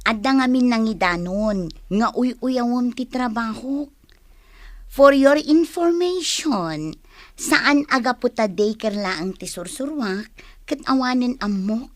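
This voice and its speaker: An offended Ilocano schoolteacher in a radio drama. Her voice is distinctly high, with a dry studio sound. She confronts her critic with wounded, indignant pride. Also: lively intonation, slow, dry recording. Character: offended woman daycare teacher standing up for herself.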